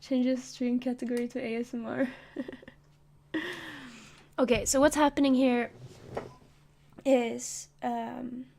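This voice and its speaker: A woman's voice: a little high, level tone, slow, creaky, pauses often, dark tone, slight background noise. Giggly woman chatting on stream. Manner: chatty, giggly, jokey asides. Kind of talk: casual stream chat.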